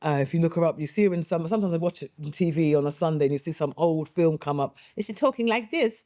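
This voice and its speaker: Middle-aged woman, actress-playwright, warm mellow voice, chatty and quick to laugh, dry close recording. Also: sits low, no room sound. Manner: animated, laughing often, chatty. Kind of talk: interview, reminiscing.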